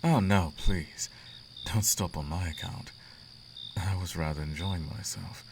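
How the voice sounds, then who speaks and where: A man's voice: deep voice, unhurried, slight background noise. A man playing a suave, flirtatious, imperious demon prince in an intimate roleplay monologue, teasing and silky with grand formal phrasing. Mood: amused, admiring. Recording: clear outdoor cricket ambience behind.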